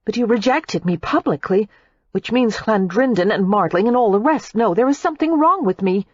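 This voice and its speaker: A woman's voice: medium pitch, expressive, normal speed, dry recording, lo-fi sound, voice pushed up, close to an everyday voice. A woman reading an audiobook, voicing a fiery young woman who is indignant and hurt, in a raised, heated, accusing tone. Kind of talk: audiobook character voice.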